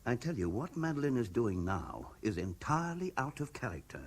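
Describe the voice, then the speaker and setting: An actor playing an anxious, well-to-do husband in a radio drama, speaking politely and insistently with a British accent. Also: male voice, medium pitch, big pitch swings, brisk, slightly rough. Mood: courteous, businesslike. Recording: 1970s radio drama broadcast.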